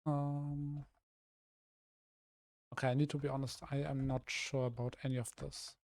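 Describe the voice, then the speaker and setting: A young man from Germany, a streamer with a rough, slightly hoarse voice, thinking aloud hesitantly with many restarts while he builds in a game. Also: mid-range voice, little pitch movement, moderate pace, darkish tone, no echo, clean studio sound. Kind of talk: casual live-stream commentary while building.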